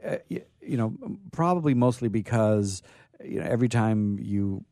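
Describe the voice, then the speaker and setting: A man hosting a radio show, reading stories aloud in an informal, halting but theatrical way. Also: lowish voice, expressive, mellow, no echo. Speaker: radio show host and storyteller.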